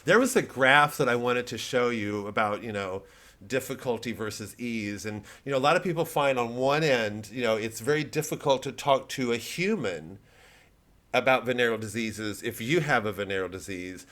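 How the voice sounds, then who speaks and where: A man who works as a comedian, talking in a casual, rambling vlog monologue with a deadpan delivery. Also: mid-range voice, moderate pace. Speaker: comedian promoting his live show.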